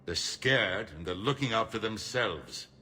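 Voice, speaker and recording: A man's voice: on the low side, animated, measured pace, big-room sound, exaggerated voice. A man playing a blunt, exasperated human politician in a video game cutscene. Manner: forceful, blunt.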